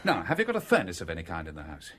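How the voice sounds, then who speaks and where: A man in a radio drama playing a cool-headed, commanding British gentleman schemer, brisk, wry and bossy. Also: deep voice, wildly swooping pitch, breathy edge, full character voice.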